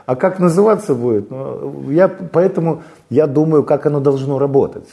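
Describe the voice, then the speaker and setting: A man from Belarus, talking haltingly as an interview guest, with many fillers and restarts. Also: a little high, gravelly, warm tone, lo-fi sound. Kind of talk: casual interview talk.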